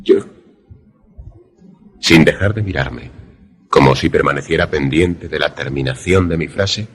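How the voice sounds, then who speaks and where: A man's voice: very deep voice, a little slow, slightly rough, exaggerated voice. A man playing a brooding, lovelorn former student who narrates a suspense radio drama in a grave, literary manner. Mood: grave, reflective.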